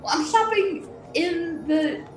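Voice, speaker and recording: A woman's voice: very high-pitched, takes it slowly. A woman playing a tabletop game, asking questions and sounding self-assured. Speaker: player. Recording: echoey room with music behind.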